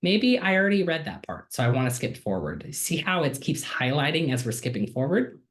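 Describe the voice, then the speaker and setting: A man's voice: on the high side, big pitch swings, a little fast, a bit bright. A man, a student, giving a casual and enthusiastic screen-share walkthrough of a digital textbook feature, with frequent ums and uhs. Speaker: student giving a software demo.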